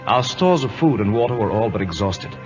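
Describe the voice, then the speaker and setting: A man's voice: fairly low pitch, lively intonation, a little fast, close to an everyday voice. A man acting a dutiful, anxious British first officer, earnest and deferential, in old radio drama style. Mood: earnest narrating. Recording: muffled old radio recording, music behind.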